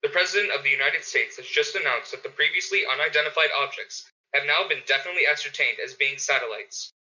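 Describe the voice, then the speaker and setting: A man's voice: on the high side, quick pace, bright, forceful tone. Man acting an urgent, piercing emergency radio announcer. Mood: official, urgent. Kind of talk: repetitive emergency broadcast announcement. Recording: dull lo-fi audio.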